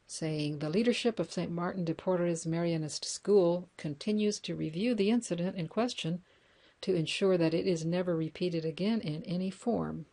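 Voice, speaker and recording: A woman's voice: fairly low pitch, moderate pace, warm tone. A woman reading news articles aloud, stopping now and then to correct herself with dry asides. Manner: wry asides. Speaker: news reader.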